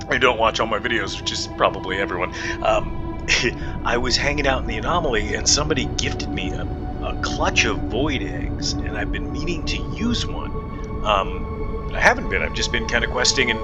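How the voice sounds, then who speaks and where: Rambling late-night gamer over loud music. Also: male voice, medium pitch, highs cut off. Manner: rambling, hesitant, many fillers and restarts. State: tired, up late. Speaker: gaming channel host. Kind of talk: casual gameplay commentary. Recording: game music loud behind the voice. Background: loud game music.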